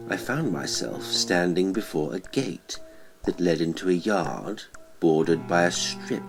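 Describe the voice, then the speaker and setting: A man narrating a classic horror story in a measured, deliberate, dramatic way with weighty pauses. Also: deep voice. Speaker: audiobook narrator and podcast host. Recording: music behind the voice. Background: music.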